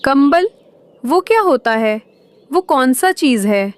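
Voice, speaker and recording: A woman's voice: sits high, lively intonation, slow, dry recording. A woman voicing a young boy crow in a cartoon, curious and envious, with a childlike wheedling manner. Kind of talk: Hindi moral cartoon voice acting.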